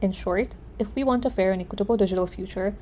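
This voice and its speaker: A female student giving a scripted class presentation in an earnest, steady delivery. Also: mid-range voice, quick pace, a little hiss or hum.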